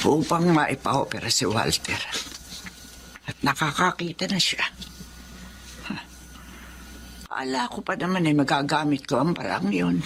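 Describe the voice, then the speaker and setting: Malditang biyenan, matapobre at mapang-api. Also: female voice, low voice, a bit bright, a little hiss or hum, full character voice. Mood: bitter scheming. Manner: scornful, imperious. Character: haughty, spiteful, wealthy older mother-in-law villainess. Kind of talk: Filipino radio drama acting.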